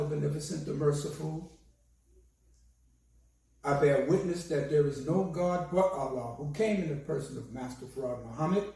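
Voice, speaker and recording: A hoarse man, a minister, giving a slow and solemn sermon with a recited prayer. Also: on the high side, mellow. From United States. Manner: slow, measured, solemn delivery. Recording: very echoey, distant from the mic.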